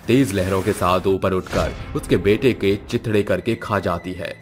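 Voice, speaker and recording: A male narrator reads a spooky cartoon story in a low, steady voice. His telling is dramatic, with faint background music. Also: normal speed.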